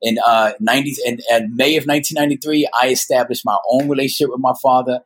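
Guy in his 50s, mid pitched voice, sharing personal stuff in an interview, earnest, kinda halting. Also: steady tone, husky. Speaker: guest storyteller. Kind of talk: personal storytelling in a conversational interview.

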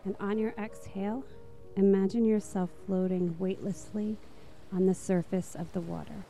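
A woman narrating a guided meditation in a soft, slow, soothing manner. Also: medium pitch, fairly even intonation, faint music behind.

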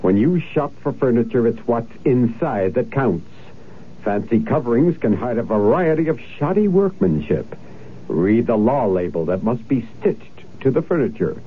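A man's voice: mid-range voice, animated, normal speed, natural voice. On a narrow, faintly noisy old radio recording, an actor plays a helpful consumer watchdog. He sounds assured and instructive in a scripted public service skit. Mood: assured helpfulness. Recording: tinny, band-limited old radio recording.

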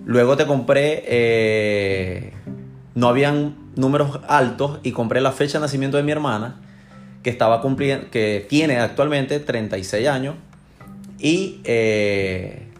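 A Venezuelan-accented man with a medium-pitched voice. He recounts things casually as a client being interviewed, over faint background music. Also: moderate pace. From Maracaibo, Venezuela.

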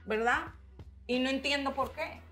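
A woman, a writer and organizer, telling her story in a relaxed interview and slipping into English phrases. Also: high-pitched, unhurried, faint music behind. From Dominican-American. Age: adult, mother. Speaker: guest writer and community organizer. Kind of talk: casual interview chat.

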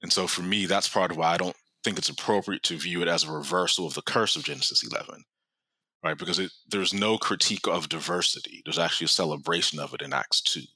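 A man's voice: low voice, quick pace, bright, forceful tone, no room sound, low-quality recording. A man answering questions as a guest scholar, explaining earnestly in a conversational way and laughing often. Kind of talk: conversational teaching.

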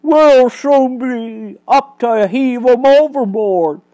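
A woman's voice: fairly high pitch, slow, warm tone, voice pushed up, rough recording. Barney, a woman doing a bossy gang boss. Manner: barking orders, gleeful. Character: bossy male bank-robber gang leader.

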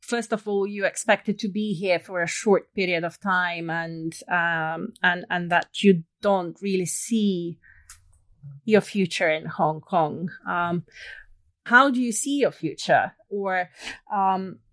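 Gentle, attentive woman interviewer. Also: on the low side, slow. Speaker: interviewer, academic. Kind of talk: podcast interview.

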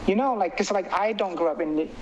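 A man from Indonesia with an Indonesian accent, chatting casually as an interviewed filmmaker in an animated, rambling way full of filler phrases. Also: sits high, brisk, slight background noise. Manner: animated, rambling, peppers talk with "like" and "you know". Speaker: filmmaker and writer being interviewed.

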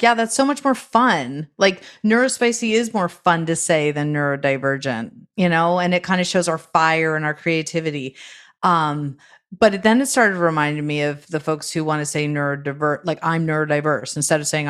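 Low-voiced woman thinking aloud. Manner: thoughtful, thinking aloud in loosely linked phrases. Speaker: podcast guest, neurodiversity advocate. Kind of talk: casual reflective interview talk.

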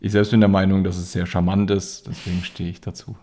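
A man's voice: sits low, little pitch movement, fast, dark tone. A man in his thirties from Rhineland-Palatinate with a Palatinate accent, co-hosting a casual podcast and stumbling through fillers and restarts. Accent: Palatinate German. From Rhineland-Palatinate, Germany. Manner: hesitant, many fillers and restarts. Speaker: podcast co-host. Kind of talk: casual chat.

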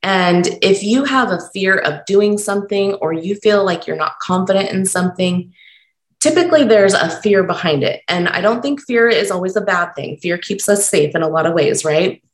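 A woman hosting a solo podcast, talking unscripted and encouraging while stumbling over her words, her voice croaky from being sick. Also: medium pitch, expressive, moderate pace, echoey room. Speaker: podcast host.

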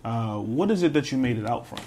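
Emphatic, drawn-out podcast host man. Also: mid-range voice, expressive, mellow, slight background noise. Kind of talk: casual interview.

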